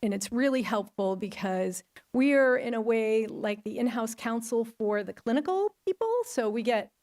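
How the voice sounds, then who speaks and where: A middle-aged woman giving an enthusiastic talk at a podium in a large lecture auditorium. Her voice is loud and a bit high, with a gravelly fry. She keeps it chatty and loose, with plenty of ums. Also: lively intonation, normal speed. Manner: chatty, enthusiastic, many fillers. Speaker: hospital risk manager and attorney. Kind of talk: conference talk.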